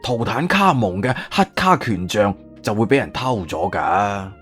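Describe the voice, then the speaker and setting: 一個男人用粵語有聲小說嘅演法，扮演一個慌張、囉嗦、急於安撫人嘅博物館館長，講嘢猶豫多口頭禪。. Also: male voice, lowish voice, big pitch swings, unhurried, a bit bright, faint music behind. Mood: wordy, explaining. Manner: hesitant, filler-laden, long-winded. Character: flustered, wordy museum director, eager to placate. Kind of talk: Cantonese audiobook character acting.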